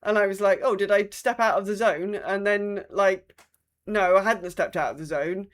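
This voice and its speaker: A woman's voice: mid-range voice, moderate pace. A woman co-hosting a casual gaming chat podcast, griping bluntly in an exasperated, sweary way. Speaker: podcast co-host. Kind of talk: casual chat about a video game.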